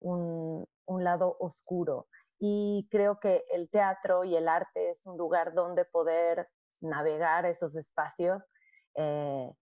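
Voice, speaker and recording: A woman who works in theatre as director and actress, answering interview questions in a reflective way, pausing often and repeating words as she thinks. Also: on the low side, fairly even intonation, dark tone, no echo. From born in Argentina, raised in Mexico.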